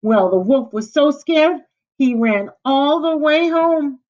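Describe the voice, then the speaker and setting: A woman's voice: high-pitched, animated, takes it slowly. A middle-aged woman teacher reading a storybook aloud to children and stopping to explain words. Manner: warm, explaining word meanings to children. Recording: slightly dull lo-fi recording.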